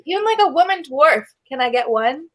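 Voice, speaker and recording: A woman's voice: high-pitched, very animated, fairly quick. A woman giving her opinions earnestly in a casual book discussion among a panel of guests.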